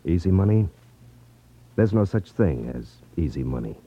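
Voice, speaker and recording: An actor playing a confident, wry detective, glib and unflappable, in a 1950s radio drama. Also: male voice, deep voice, big pitch swings, moderate pace, over music. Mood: confident, explanatory. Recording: dull, dry 1950s radio recording.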